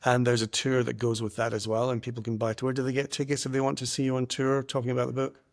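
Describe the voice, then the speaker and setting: A man's voice: mid-range voice, monotone, fast talker. A man hosting a podcast from his front room, chatty and jokey. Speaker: podcast host. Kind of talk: casual podcast chat. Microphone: single earpiece, home setup.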